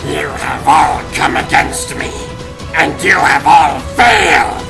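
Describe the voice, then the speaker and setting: Gravelly, gloating alien starfish villain. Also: male voice, on the high side, slow, raised voice, music in the background, heavily acted. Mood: gloating, menacing. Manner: pompous, theatrical. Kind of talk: comic dub voice acting.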